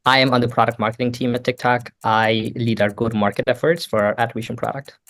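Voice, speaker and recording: A man from India, a product marketing guest on a podcast, speaking in a measured, steady way. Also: mid-range voice. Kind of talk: casual podcast chat.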